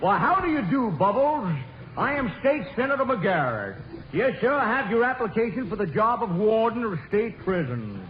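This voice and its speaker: High-voiced, hearty state senator. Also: male voice, big pitch swings, normal speed. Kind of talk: 1940s radio comedy straight man. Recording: dull, echoey old radio recording.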